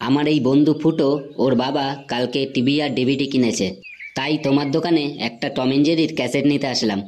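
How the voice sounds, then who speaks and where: A man's voice: mid-range voice, fast, a little mellow, lightly acted. A man voicing a cocky, scheming village boy in a Bengali comedy cartoon, brash, bossy and slangy. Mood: sly, friendly.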